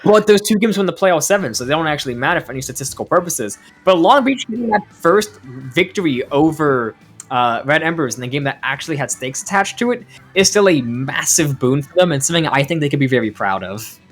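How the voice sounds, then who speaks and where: A man's voice: on the high side, lively intonation, fairly quick, slightly rough. A man giving analytical esports commentary in a tired, worn-out voice, full of "like" and "I mean". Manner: analytical, filler-heavy with "like" and "I mean".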